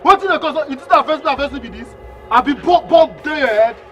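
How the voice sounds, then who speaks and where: A man's voice: very high-pitched, lively intonation, a little fast, highs cut off. A man with a Nigerian Pidgin accent voicing a grumbling gateman who scolds and complains in exasperation. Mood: indignant.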